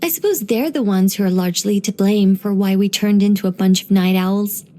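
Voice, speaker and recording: A woman voicing a gentle, wry former angel turned human storyteller in a video game, musing and lightly teasing in an unhurried way. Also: medium pitch, natural voice. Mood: playful, musing. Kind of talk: scripted video game character voice lines.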